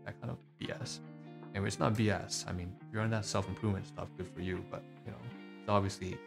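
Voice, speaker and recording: A man with a deep, gravelly voice talks his way through a casual, digressive vlog. He is chatty and pokes fun at himself as he goes. Music plays clearly underneath him. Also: fairly even intonation, quick pace. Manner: chatty, digressive, self-mocking. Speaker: vlogger reviewing receipts. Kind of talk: casual rambling monologue.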